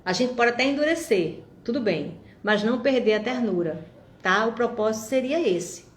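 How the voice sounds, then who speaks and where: A woman's voice: a little high, animated, moderate pace, roomy sound, slight background noise. Encouraging woman in her fifties. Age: 50s. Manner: warm, encouraging, reflective, addresses viewers directly. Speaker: vlogger. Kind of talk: motivational monologue to viewers.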